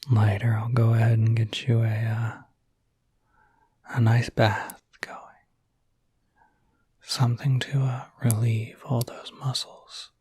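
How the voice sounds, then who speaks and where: A man playing a gentle, caring young werewolf in an intimate whispered ASMR roleplay, speaking softly and hesitantly, with casual reassurance. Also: mid-range voice, no room sound. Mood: soothing. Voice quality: breathy, soft whisper. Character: gentle, caring young male werewolf looking after someone.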